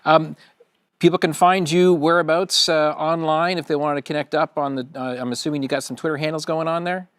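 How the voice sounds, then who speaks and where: A man's voice: a little high, fairly even intonation, brisk, clean tone, no echo. A man co-hosting a live tech event stream, easygoing and chatty, with plenty of fillers. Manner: easygoing, filler-heavy chat. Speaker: event co-host.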